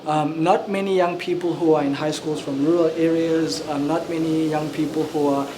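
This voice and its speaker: A young man in his twenties speaks in an earnest, measured way, pausing on frequent fillers. His voice sits a little high for a man. Steady room noise runs clearly underneath. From South Africa. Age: 20s. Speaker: activist and social entrepreneur. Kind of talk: panel discussion. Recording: noisy ambient background.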